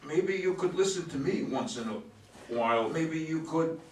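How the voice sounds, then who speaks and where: A man playing a delirious astronaut in an amateur play reading, halting and repeating himself in a self-pitying, nagging grievance. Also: fairly high pitch, expressive, darkish tone. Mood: wounded, rambling. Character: rambling, aggrieved astronaut low on oxygen, nagging like a relative. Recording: echoey room, distant mic, faint noise.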